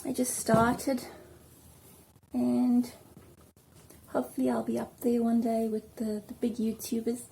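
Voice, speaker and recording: A South African woman chatting casually at home while doing her hair, rambling and filling pauses with ums. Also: a little high, level tone, vocal fry, warm tone, a little hiss or hum. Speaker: vlogger. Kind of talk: casual hair tutorial chat.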